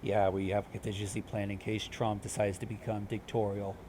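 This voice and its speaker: A man's voice: fairly low pitch, flat delivery, fairly quick, noisy background. A man giving casual commentary as a co-host in a group discussion of videos. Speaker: reaction show co-host.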